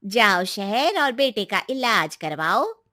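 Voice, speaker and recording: A woman's voice: medium pitch, very animated, takes it slowly, bright, forceful tone, no room sound, clean studio sound, heavily acted. A woman voicing a kindly, secretive young village woman in a cartoon, speaking in an emphatic, reassuring, colloquial way. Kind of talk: Hindi moral-story cartoon dialogue.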